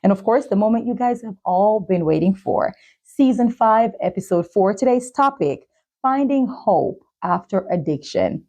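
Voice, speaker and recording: A woman speaking as the host of a talk show and podcast, with a husky voice. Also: mid-range voice, animated, moderate pace, dark tone. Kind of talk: scripted intro and sponsor reads, then supportive interviewing.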